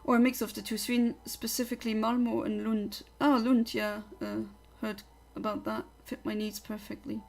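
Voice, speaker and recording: A woman's voice: a little high, flat delivery, moderate pace, gravelly, hardly any pauses. Chatty young woman. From Luxembourg. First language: Luxembourgish. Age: young adult. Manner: chatty, opinionated, rambling. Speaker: livestreamer. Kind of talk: casual livestream chat.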